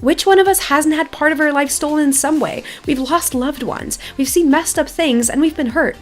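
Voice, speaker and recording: A woman's voice: high voice, moderate pace, pitched above the performer's own voice, lightly acted. A woman voicing an earnest, determined young female horse rider from a game, solemn and pleading. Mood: defiant, pleading. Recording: music behind the voice.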